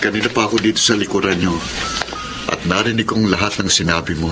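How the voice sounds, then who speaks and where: An actor playing an earnest, devoted suitor in a Filipino radio drama, with a Filipino accent. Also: male voice, on the low side, fairly even intonation, fast talker, close to an everyday voice. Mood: tender, resolute. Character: earnest, devoted male suitor, gentle and steadfast. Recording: prominent music under the voice.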